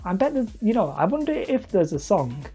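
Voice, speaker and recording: A man casually chatting on a livestream while he crafts hobby terrain, rambling and self-deprecating as he reads the chat aloud. He calls his own voice high and whiny. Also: very animated, a little fast, warm tone, faint music behind. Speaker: hobby terrain-making streamer.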